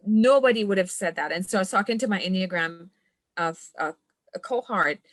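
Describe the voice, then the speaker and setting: A woman's voice: mid-range voice, animated, fairly quick, dry recording. A woman from Trinidad, a coach, speaking as a podcast guest in an earnest, reflective way and laughing often. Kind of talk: podcast conversation.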